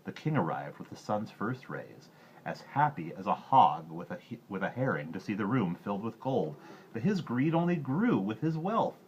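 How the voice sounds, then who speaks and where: A man reading a fairy tale aloud as an expressive storyteller. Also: lowish voice, normal speed, highs cut off, slight background noise.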